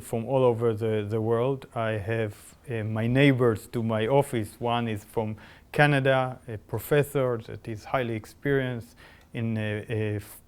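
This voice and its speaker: A man's voice: mid-range voice, clean tone. Israeli-accented man, academic podcast guest. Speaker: biotechnology professor. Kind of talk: conversational podcast discussion.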